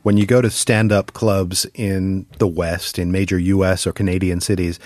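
A man hosting an interview, asking measured, articulate questions. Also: sits low, flat delivery, darkish tone, dry recording, clean studio sound. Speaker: interview host.

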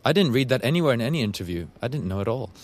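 Fast-talking, chatty man radio host. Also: mid-range voice, big pitch swings. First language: Japanese. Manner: chatty, enthusiastic, quick. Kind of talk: conversational interview.

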